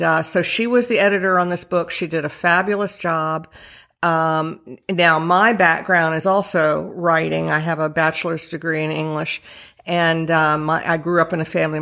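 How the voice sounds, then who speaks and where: A woman's voice: low-pitched, creaky, fairly bright tone. A woman in her sixties, an author from Virginia, talking in a radio interview, thoughtful and hesitant with many fillers. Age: 60s. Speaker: author guest.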